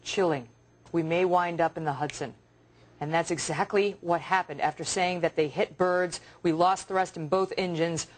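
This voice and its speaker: American woman news anchor, lowish voice. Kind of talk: live breaking-news presenting and commentary.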